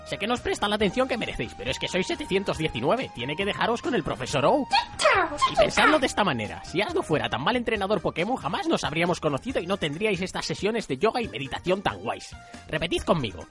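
A performer playing a calm Pokémon therapist who leads yoga and meditation in a radio comedy sketch. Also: mid-range voice, fast talker. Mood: patient, encouraging. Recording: music behind the voice.

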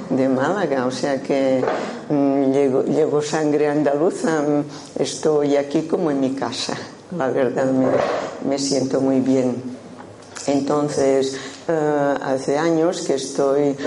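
A woman's voice: a bass voice, warm tone. A woman in her sixties from Menorca, with a Menorcan Spanish accent, gives an informal talk as a Buddhist teacher. She speaks in a thoughtful way with frequent hesitations. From Menorca, Spain. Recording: roomy hall with faint background noise.

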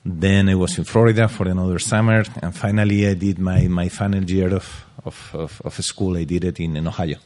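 A man's voice: low-pitched, steady tone, brisk, hardly any pauses, a little mellow. A man with a Spanish accent answering interview questions in English, hesitant and repeating words. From Spain. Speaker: interview guest, car brand executive. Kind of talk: casual interview.

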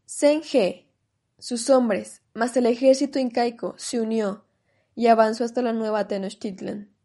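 A woman's voice: fairly high pitch, steady tone, unhurried, warm tone. A woman narrating a scripted historical radio drama.

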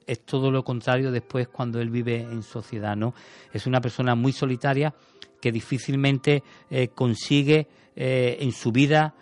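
A man on a radio show talking about a film in a thoughtful, rambling way, with many restarts. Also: mid-range voice. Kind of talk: casual radio chat. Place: radio studio.

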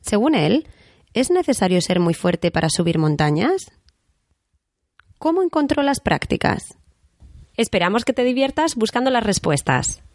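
A woman from Spain with a Madrid accent, hosting a podcast interview and speaking slowly and clearly for learners. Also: medium pitch, sing-song, darkish tone, dry recording, clean studio sound. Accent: Madrid Spanish. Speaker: podcast host and Spanish teacher.

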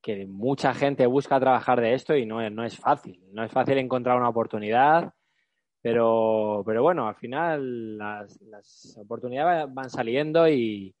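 A man with a medium-pitched voice chats informally. He tends to agree and circle back over his own words. The recording is dry, without room sound. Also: normal speed, dry recording. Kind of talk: casual chat.

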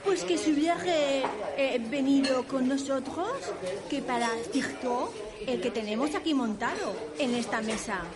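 A woman's voice: high-pitched, moderate pace, over a noisy crowd, natural voice. A woman playing a proud, indignant scientist in a comic radio drama. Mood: sardonic. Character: proud, indignant female scientist at a card table.

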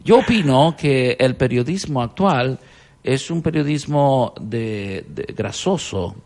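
A man acts out a pompous Miami-based journalist in a comedy interview. His delivery is halting and full of himself. The pitch swings animatedly over a creaky, gravelly voice. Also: lively intonation, darkish tone. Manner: halting, hesitant, apologizes for slipping into English, breaks into laughter. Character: pompous Chilean-born Miami TV journalist, self-important, mangling English. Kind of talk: comic mock interview.

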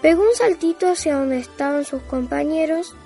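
A woman's voice: high-pitched, expressive, normal speed, warm tone, faint music behind. A woman narrating a scripted children's story.

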